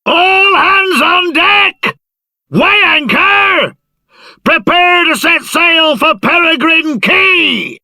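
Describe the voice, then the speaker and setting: High, bellowing ship's captain. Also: male voice, drawn-out words, no echo, studio quality, full character voice. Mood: commanding. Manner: booming, shouted orders. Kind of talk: video game character acting.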